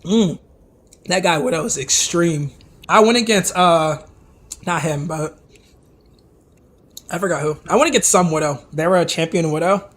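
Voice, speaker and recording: Hoarse man chatting on a live stream. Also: fairly high pitch, normal speed, pauses often, fairly bright tone. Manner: chatty, talks to chat directly, many asides and restarts. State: hoarse, losing his voice. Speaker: streamer reviewing viewers' game replays. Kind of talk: casual live-stream commentary.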